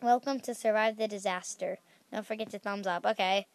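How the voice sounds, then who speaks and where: A woman's voice: mid-range voice, fairly even intonation, brisk, dry recording. A young woman hosting a game channel, chatting casually as she plays and squealing at danger, with a slightly stuffy nose. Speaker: gaming channel host.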